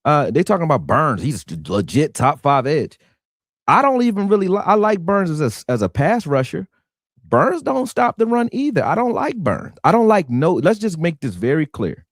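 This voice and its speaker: A man rants through a live sports talk stream. His pitch swings widely as he talks, and he keeps leaning on "you know what I'm saying." The sound is close and dry. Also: huge pitch swings, moderate pace, no room sound. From Philadelphia. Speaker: sports show host.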